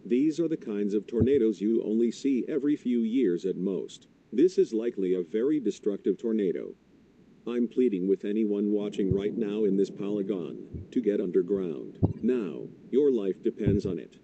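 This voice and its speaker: Male actor as broadcast meteorologist, grave and pleading, low-pitched, dull lo-fi sound. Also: animated, moderate pace, mellow, slight background noise. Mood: alarmed pleading. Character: urgent male TV meteorologist warning of tornadoes. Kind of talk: live severe weather broadcast commentary. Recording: dull, muffled stream playback.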